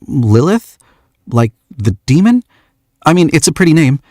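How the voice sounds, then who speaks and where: A shy, eager young man who stumbles over his words, his voice pitched well up and bright with nerves, as a storyteller puts him on. Quick restarts and hesitations fill his lines. The sound is close and dry, with no room around it. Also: big pitch swings, dry recording.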